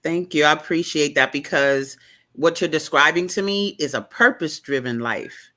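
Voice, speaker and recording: A woman from the United States hosting a talk show over a video call, asking long, animated, rambling questions full of fillers. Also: low-pitched, moderate pace. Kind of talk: casual interview questions.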